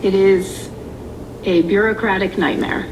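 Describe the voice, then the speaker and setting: A woman's voice: medium pitch, fairly even intonation, measured pace, noisy background. A woman speaking as an interviewee in a television news interview. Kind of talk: answering in a TV interview.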